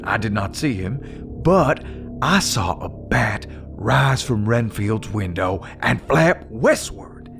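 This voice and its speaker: Casting: laconic steely male hunter, gravelly and unhurried, grim resolve, audio fiction. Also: lowish voice, animated, music in the background, exaggerated voice. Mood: grim, resolute. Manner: deliberate, terse, grim.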